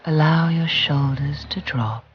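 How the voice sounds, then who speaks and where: A soothing, gentle voice guiding a relaxation and visualisation exercise, deliberate and with long pauses. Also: mid-range voice, breathy edge. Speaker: guided relaxation instructor. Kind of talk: guided visualisation narration. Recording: muffled recording with music behind. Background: background music.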